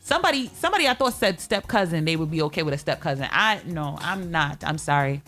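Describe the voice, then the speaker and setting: Lady talk show host, kinda low voice, opinionated and firm, chatting over faint music. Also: animated, quick pace, fairly bright tone. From Guyana or of Guyanese family. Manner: emphatic, opinionated, repeats points firmly. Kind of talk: casual debate with co-host and live commenters. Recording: music playing behind the talk.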